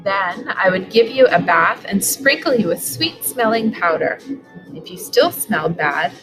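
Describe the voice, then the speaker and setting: A woman in her forties reads a picture book aloud. Her voice sits at a middle pitch, and music plays clearly behind her as she reads. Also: lively intonation, a little slow, poor audio. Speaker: school superintendent reading aloud. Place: living room sofa, indoors.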